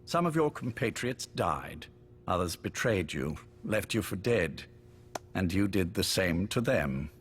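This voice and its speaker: Low-voiced, genteel sickly spymaster. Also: male voice, big pitch swings, a little hiss or hum. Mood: grave, intense. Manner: urbane, measured, wryly polite. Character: genteel, sickly older British male spymaster, courteous but menacing. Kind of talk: dramatic game voice acting.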